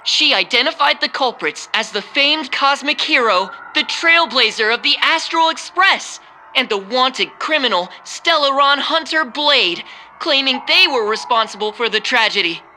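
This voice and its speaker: A woman's voice: high-pitched, animated, normal speed, bright, forceful tone, a little hiss or hum, heavily acted, poor audio. A woman playing an emphatic, insinuating TV news anchor reading dramatic bulletins in a video game. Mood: grave, insinuating. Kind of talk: dramatic scripted news broadcast.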